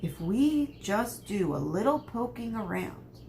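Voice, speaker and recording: A woman's voice: mid-range voice, sing-song, slow pace, creaky, darkish tone, a little hiss or hum, voice pushed up, exaggerated voice. A woman reading aloud voices an eager, impulsive eleven-year-old boy wizard.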